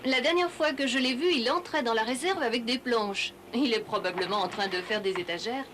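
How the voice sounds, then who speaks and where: Une comédienne de dessin animé en français qui joue une jeune femme douce et attentionnée. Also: female voice, fairly high pitch, brisk, very noisy, natural voice. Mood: concerned. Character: caring, gentle young woman nursing an injured animal. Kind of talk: French cartoon voice acting. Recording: loud music behind the voice.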